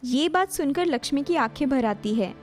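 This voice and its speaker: Female story narrator, high register, scripted delivery, light music underneath. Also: animated, normal speed.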